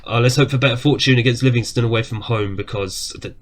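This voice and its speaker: A man with a cough talks his way through a football management game from his desk. His voice sits at a middle pitch, and his commentary is casual and chatty, with quick reactions to saves and shots. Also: steady tone. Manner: chatty, reacts aloud to match events. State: has a cough. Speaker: gaming YouTuber.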